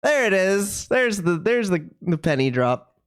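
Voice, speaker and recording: A woman's voice: medium pitch, very animated, unhurried. A woman streamer giving casual live commentary and narrating as she reads through a visual novel. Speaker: game streamer.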